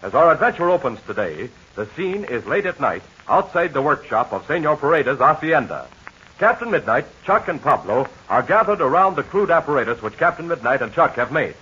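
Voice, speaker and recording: Male 1940s serial announcer, bright, lively pitch, husky edge, muffled old radio. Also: brisk. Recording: dull vintage radio recording.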